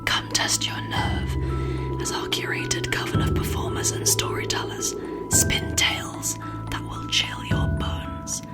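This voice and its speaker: A woman's voice: very high-pitched, monotone, slow pace. A woman voicing a sinister, teasing horror-show herald in an ominous, theatrical promo. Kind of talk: whispered horror event promo. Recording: whisper over loud music.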